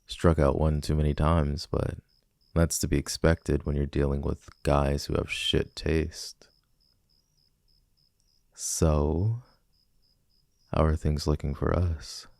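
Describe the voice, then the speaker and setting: A man's voice: rumbling low, little pitch movement, takes it slowly, creaky, pauses often, darkish tone, no echo, heavily acted. A man playing a cocky, flirtatious villain in an ASMR romance roleplay, teasing and casually persuasive, close on the mic. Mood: smug teasing. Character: flirty, cocky male villain smitten with a civilian.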